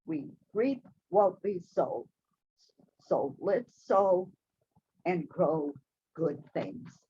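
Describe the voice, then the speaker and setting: A woman giving a gentle, measured spiritual talk to a church congregation. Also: on the low side, lively intonation, muffled recording, a little hiss or hum. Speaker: church speaker. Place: church hall, also streamed to video call.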